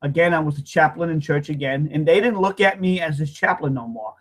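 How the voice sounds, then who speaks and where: A man in his fifties telling his own life story as a personal testimony, emotional and halting, often repeating himself and starting over. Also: a little high, fairly bright tone. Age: 50s.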